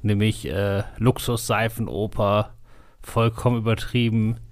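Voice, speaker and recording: A man's voice: lowish voice, level tone, a little slow, no echo. Male co-host, dry close studio sound, wry and teasing. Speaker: podcast co-host. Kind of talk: casual film podcast chat.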